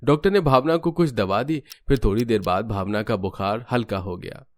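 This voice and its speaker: A man's voice: lowish voice, moderate pace, no room sound. A man narrating a scripted cartoon family story as a storyteller.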